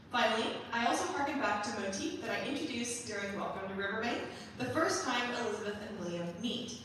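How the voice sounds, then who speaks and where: Female presenter, higher-pitched, articulate and explanatory, prepared talk, distant in a reverberant hall. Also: a bit bright. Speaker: composer presenting own musical. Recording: echoey hall, distant mic, faint noise.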